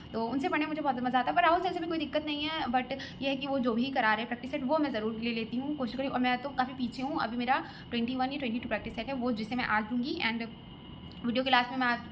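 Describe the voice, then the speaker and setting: A young woman from Lucknow, vlogging casually as she answers viewer comments about her exam preparation, talking in a chatty, hesitant way with many fillers and restarts. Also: sits high. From Lucknow, India. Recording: audible background noise.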